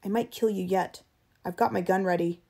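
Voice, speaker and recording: A hard-bitten man who guides hunts through time, acted by a woman narrator, barking orders in a storybook reading. He sounds angry and accusing, pushed above the narrating voice and louder. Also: medium pitch, fairly even intonation, normal speed, creaky, slightly rough. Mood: grim, threatening.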